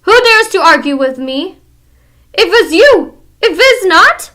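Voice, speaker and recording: A woman's voice: high-pitched, expressive, a little slow, sharp tone, voice pushed up, full character voice. A woman voicing a tyrannical female witch leader who screams and rants her commands. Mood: menacing. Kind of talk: audiobook character reading.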